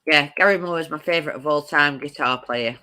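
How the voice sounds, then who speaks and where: A woman's voice: low voice, fairly quick, sharp tone, clear, resonant tone. A woman co-hosting a casual live chat stream, teasing and laughing often.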